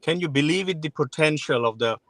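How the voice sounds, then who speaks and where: A man's voice: a little high, animated, brisk, a bit bright, no echo. A man in his forties, born in Bangladesh, speaking frankly and reflectively as an interview guest, often restarting his sentences. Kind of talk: interview conversation.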